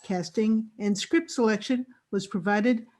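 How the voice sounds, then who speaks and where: A woman's voice: mid-range voice, unhurried, a little mellow. A woman announcer reading scripted credits.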